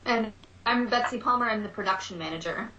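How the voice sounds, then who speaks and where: A woman's voice: medium pitch, a little fast, pushed and bright, dull audio, slight background noise. A woman being interviewed as a production manager, friendly and plain-spoken. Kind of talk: casual podcast interview. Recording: echoey room.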